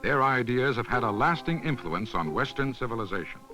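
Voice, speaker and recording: A man reads scripted documentary narration in a measured, instructional manner, as on a 1970s educational film soundtrack. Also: medium pitch, lively intonation, pushed and bright, faint music behind, poor audio.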